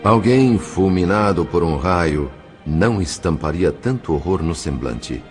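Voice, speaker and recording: A man's voice: sits low, animated, measured pace, music in the background. A man with a Brazilian accent giving a solemn, dramatic narration of a scripted literary story.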